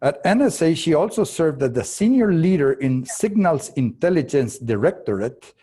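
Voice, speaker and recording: A man whose first language is Spanish, moderating a conference panel in English. He reads the guests' bios in an unhurried, formal way, with halting restarts and throat-clearing along the way. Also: on the high side, very animated, dark tone. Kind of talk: formal introduction, reading guest bios aloud.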